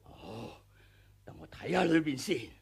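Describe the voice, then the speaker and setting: Greedy older wuxia master, very raspy, high-pitched, ruthless, theatrical radio drama muttering. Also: male voice, big pitch swings, a little slow, exaggerated voice. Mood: eager muttering. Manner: theatrical, gloating, muttering to himself. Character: greedy, ruthless older martial arts master obsessed with treasure. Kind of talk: Cantonese radio drama villain acting.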